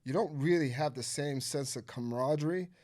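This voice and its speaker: A man's voice: fairly high pitch, brisk, a little mellow. A Nigerian-born man with an American accent, talking as a podcast guest in a loose, profane way full of filler phrases. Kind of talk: casual conversation.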